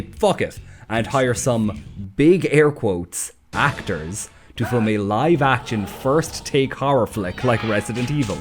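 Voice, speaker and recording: A man reading scripted video essay narration in a wry, sardonic, sweary way. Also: mid-range voice. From Ireland. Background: background music.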